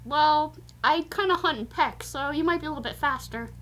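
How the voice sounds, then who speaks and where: Very high-pitched, chirpy little mascot bird. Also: female voice, fairly quick, a bit bright, slight background noise. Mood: helpful, chipper. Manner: chirpy, playful, interjecting. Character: perky little mascot bird, cheeky eager female sidekick.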